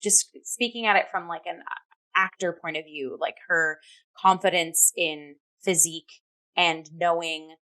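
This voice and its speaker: Female talk co-host, slightly low register, effusive and halting, conversational reviewer. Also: bright, forceful tone, poor audio. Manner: effusive, halting, heavy use of "like". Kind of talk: casual review chat.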